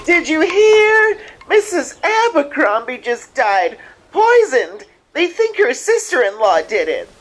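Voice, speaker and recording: A performer playing a shrill, dramatic small-town gossiping woman in a horror audio drama, with drawn-out delivery. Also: big pitch swings, heavily acted. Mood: scandalized.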